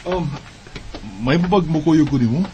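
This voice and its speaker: An actor playing a bashful young admirer in a romantic radio drama, with a Filipino Bisaya accent. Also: male voice, a little high, moderate pace, warm tone, slight background noise, lightly acted, rough recording. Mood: bashful, hopeful. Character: Dave, bashful young man confessing love.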